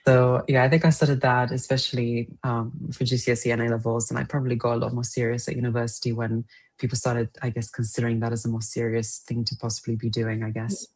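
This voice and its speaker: Young woman poet, romanian at home, steady flat tone, lots of little laughs and pauses. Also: very deep voice. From Romanian family, raised partly in England. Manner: thoughtful, hesitant, laughs often. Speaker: poet guest. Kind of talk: reflective interview answers.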